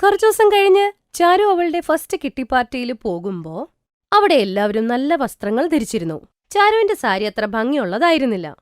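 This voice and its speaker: A woman narrating a cartoon moral story. Her voice is somewhat high and moves lively up and down. The sound is close and dry. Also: moderate pace, clean tone, dry recording. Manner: lively, expressive.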